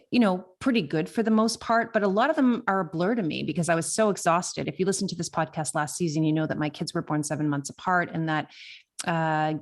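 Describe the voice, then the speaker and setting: A woman of fifty talking candidly and conversationally in a reflective solo podcast, with emphasis on key words. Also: lowish voice. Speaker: podcast host.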